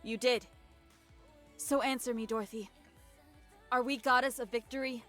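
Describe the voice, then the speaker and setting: An actress playing a steadfast, fierce young female soldier in a video game drama, with a firm, deliberate delivery. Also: a little high, fairly even intonation, fairly bright tone, soft background music, lightly acted. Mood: demanding, impassioned.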